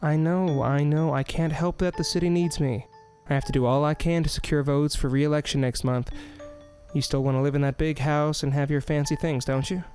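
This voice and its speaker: Man playing a smarmy city mayor, slightly high pitch, smug, flirtatious, smooth-talking, audio drama, music behind. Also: flat delivery, fairly quick, a little mellow, close to an everyday voice. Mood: placating, irritated.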